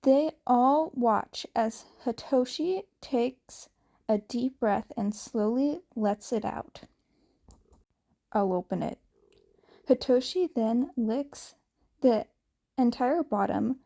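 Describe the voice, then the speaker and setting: A woman's voice: on the high side, slow pace, vocal fry, warm tone. A woman reading a scripted story aloud as narrator. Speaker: fan fiction reader.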